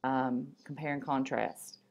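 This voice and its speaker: A woman reading stories and poems aloud in an expressive storybook manner, with an occasional stumble. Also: very deep voice, unhurried.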